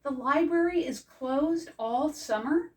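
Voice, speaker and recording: A woman's voice: sits high, takes it slowly, a little mellow, voice pushed up. An earnest, curious young schoolboy from a storybook, read by a woman. He speaks plainly and without hurry, and the microphone sits some distance away. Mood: surprised. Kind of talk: picture book dialogue.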